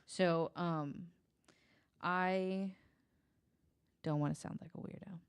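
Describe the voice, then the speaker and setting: A woman with a deep-set, fry-edged voice talks through true crime on a podcast. She is informal and halting, often hedging, and the sound is dry with no room echo. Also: fairly even intonation. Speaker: podcast guest. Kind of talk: conversational true crime case retelling.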